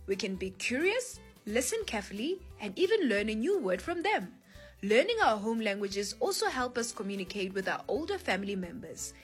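Lively South African woman host. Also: mid-range voice. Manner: addresses listeners as friends, clear explaining tone. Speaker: children's show host. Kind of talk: scripted educational presenting for children.

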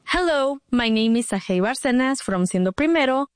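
A woman's voice: fairly high pitch, animated, moderate pace, dry recording, studio quality. A woman co-hosting and interviewing on a student podcast, enthusiastic, with short questions. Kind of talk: scripted presenting and interviewing. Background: music.

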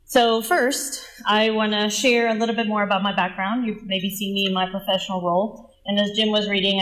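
A woman's voice: medium pitch, a bit bright. A woman in her forties from the Dominican Republic, giving an earnest, personal presentation as a nonprofit leader. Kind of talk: presentation talk. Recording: echoey room.

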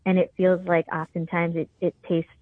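A woman from Venezuela, a native Spanish speaker, talks casually as a caller. Her voice sits a little low and comes through dull, lo-fi call audio with no room sound. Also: level tone, moderate pace, no room sound. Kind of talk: casual chat.

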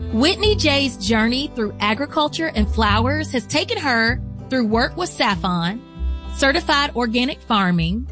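An American woman hosts a conversational radio interview in a fairly high voice. She is effusive and informal, talking in a very dry acoustic. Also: fairly bright tone, dry recording. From Atlanta, Georgia, US. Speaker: interview show host.

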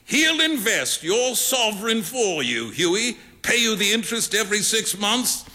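A man playing a teasing young English gentleman. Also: high voice, very animated, normal speed, pitched above the performer's own voice, heavily acted. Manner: amused, theatrical upper-class drawl. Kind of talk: live dramatic reading.

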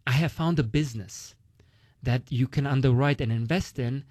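A man's voice: mid-range voice, normal speed, darkish tone, dry recording. Man, Romanian accent, chatty explainer, lots of fillers, dry close sound. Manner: uses many "like" and "you know" fillers, explains with analogies. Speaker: investment guest. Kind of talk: casual explanatory conversation.

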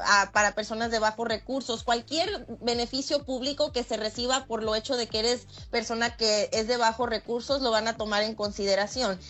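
Woman lawyer explaining rules. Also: fairly high pitch. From Michoacán, Mexico. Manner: steady and explanatory, laughs easily. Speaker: immigration lawyer, guest. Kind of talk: explaining legal rules on call-in radio.

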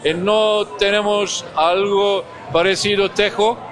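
A man with a Turkish accent answers an interview slowly and haltingly, stopping often to search for words. His voice sits fairly high for a man. A busy crowd murmurs behind him at an outdoor event. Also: fairly even intonation. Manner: halting non-native phrasing with many pauses. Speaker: ambassador guest.

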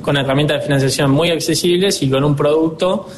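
A man in his twenties with an Argentine accent, answering interview questions as a dealership director, earnest and upbeat, often pausing on fillers. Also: a little high, noisy background. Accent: Argentine Spanish. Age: 20s.

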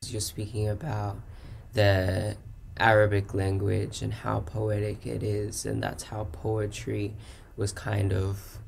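Slow, gravelly-voiced male host. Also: fairly low pitch, monotone, a little hiss or hum. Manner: slow, measured delivery. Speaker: podcast host. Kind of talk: scripted introduction and interview questions.

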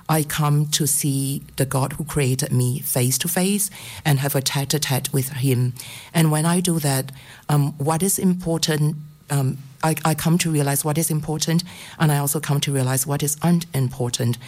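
A woman's voice: low voice, a little mellow. A middle-aged woman from Singapore giving a personal faith testimony on radio, hesitant, with many ums and restarts.

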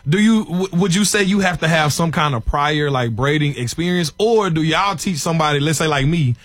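A man's voice: a little high, a little fast, fairly bright tone, no room sound. A man co-hosting in a casual, chatty way, throwing in affirming remarks. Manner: affirming interjections. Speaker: co-host. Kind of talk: casual chat.

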